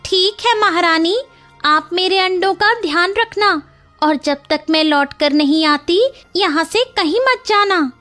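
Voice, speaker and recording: A woman voicing a small motherly female bird in a cartoon storybook tale, anxious and emphatic, quick to anger. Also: very high voice, pushed and bright, soft background music, voice pushed up, full character voice.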